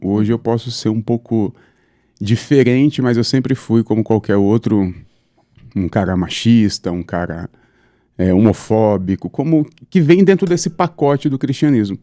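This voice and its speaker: Deep-voiced pastor from São Paulo. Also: male voice, a little slow, no room sound, studio quality. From São Paulo state, Brazil. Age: 30s. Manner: steady, fluent storytelling with frequent "né". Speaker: pastor, guest. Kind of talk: conversational interview, personal account.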